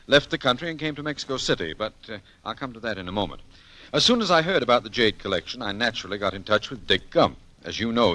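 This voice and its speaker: An actor playing an urbane, easygoing importer and amateur sleuth in a 1940s radio drama, with polished, genial delivery. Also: male voice, fairly low pitch, pushed and bright. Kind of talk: 1940s radio detective acting and narration.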